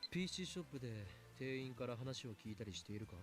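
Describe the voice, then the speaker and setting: An actor playing a grandiose, cocky young self-styled mad scientist in a visual novel, with a pompous, declamatory delivery. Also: male voice, medium pitch, lively intonation, rapid-fire, natural voice. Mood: probing. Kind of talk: Japanese visual novel voice acting. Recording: game audio with music underneath. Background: background music.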